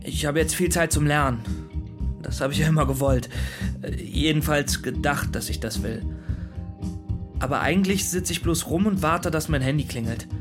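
A shy, awkward young man played by an actor in an audio drama. He speaks hesitantly, restarting his words like a lonely nerd, with clear guitar music behind. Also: fairly high pitch, natural voice. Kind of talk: audio drama acting, voicemail monologue.

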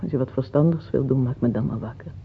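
A woman's voice: a bass voice, quick pace, vocal fry, slight background noise. An actress in a Dutch radio play, playing an earthy, plain, motherly middle-aged mistress who speaks frankly, tenderly and teasingly, without hurry. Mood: reproving, weary. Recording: muffled tinny old radio play recording.

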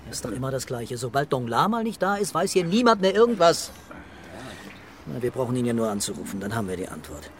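An actor playing a composed, stubborn and dreamy police commissioner in a radio crime drama. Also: male voice, mid-range voice, very animated, fast, slight background noise, close to an everyday voice. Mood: musing.

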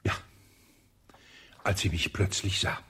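An actor playing a sly colonel under police questioning in a radio play, with a husky voice, evasive and dryly sarcastic, often dropping to a whisper. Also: male voice, slow, pushed and bright. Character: sly elderly male colonel, suspected wife-killer.

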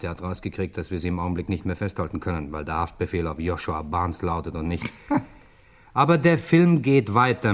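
An actor playing a tough, mocking police lieutenant in a radio crime drama, hard-boiled and insistent. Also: male voice, low-pitched, natural voice. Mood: mocking, menacing.

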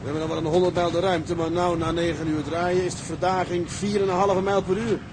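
A man's voice: sits high, little pitch movement, brisk, background noise, close to an everyday voice. A man playing a terse, stoic and decisive tugboat captain in a Dutch maritime radio drama. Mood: grim, resigned. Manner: terse, sober, commanding.

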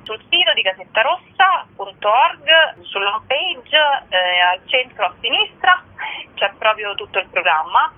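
A woman's voice: a little high, big pitch swings, a little slow. A woman speaking as an event organiser in an informal, explanatory radio phone interview. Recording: narrow tinny phone line.

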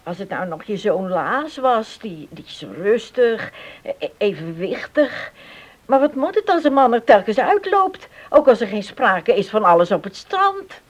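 Worried pious village lady, raspy, stammers a lot, up n down pitch, radio play. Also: very animated, measured pace. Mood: worried, earnest. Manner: hesitant, stammering, repeats words. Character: anxious, pious, fretting village woman. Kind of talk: Dutch radio drama acting.

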